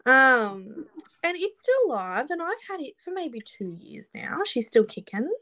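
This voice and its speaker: A woman's voice: high-pitched, sing-song, a little slow, pushed and bright, dry recording. A woman in her late twenties co-hosting a casual podcast, giggly with eager reactions. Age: 20s. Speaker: podcast co-host. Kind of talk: casual chat.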